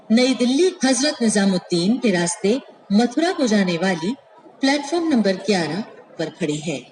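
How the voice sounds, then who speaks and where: A woman making formal, scripted railway announcements in measured, pieced-together phrases over an echoey station loudspeaker. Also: a little high, slight background noise. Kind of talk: scripted, pre-recorded train announcements. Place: played over public address at a railway station.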